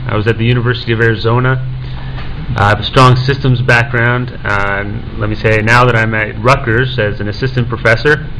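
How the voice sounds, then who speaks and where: A young man speaks on a conference panel, stopping often and filling the gaps with "uh". His voice sits at a middle pitch, with a faint hiss of background noise under it. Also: steady tone, bright, forceful tone, rough recording. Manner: halting, many "uh" fillers and restarts. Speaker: panelist, assistant professor.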